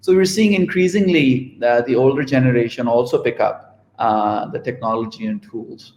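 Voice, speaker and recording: A man's voice: medium pitch, moderate pace, lo-fi sound. Conversational man in an echoey room. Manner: relaxed, conversational, frequent "right?" and "you know". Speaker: panelist. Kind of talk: online panel discussion. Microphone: video call.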